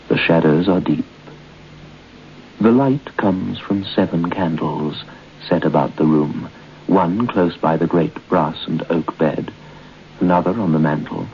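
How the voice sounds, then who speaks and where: A man narrating an old radio ghost story in a deliberate, ominous way with weighty pauses. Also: low voice. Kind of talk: scripted old time radio horror narration. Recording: muffled old radio recording with hiss.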